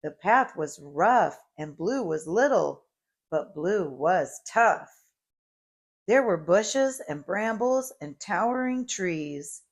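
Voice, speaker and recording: Slow, animated woman reading to small children. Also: on the low side. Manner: deliberate, animated storytelling. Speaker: children's read-aloud host. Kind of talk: expressive picture-book read-aloud for preschoolers.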